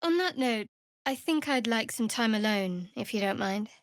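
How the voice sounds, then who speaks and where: Guarded dark cleric girl from a fantasy game, slightly high voice, slow n dry wit, close dry sound. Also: expressive, dry recording. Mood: guarded, composed. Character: guarded, sardonic, devout young woman cleric of a dark goddess. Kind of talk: scripted fantasy video game acting.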